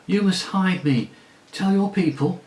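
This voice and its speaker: A man's voice: high-pitched, a little slow, darkish tone, echoey room, pitched above the performer's own voice, low-quality recording. A man doing Aravis, a bold runaway noble girl. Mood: urgent. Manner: urgent and commanding. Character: bold young noble girl on the run, urgent.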